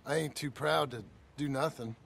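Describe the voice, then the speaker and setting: An actor playing a weary, gruff outlaw husband trying to go straight, with an American accent and folksy, halting speech in a western game. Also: male voice, medium pitch, a bit bright, a little hiss or hum. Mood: humble, hesitant. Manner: folksy, plain-spoken, halting. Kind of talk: cinematic western game dialogue.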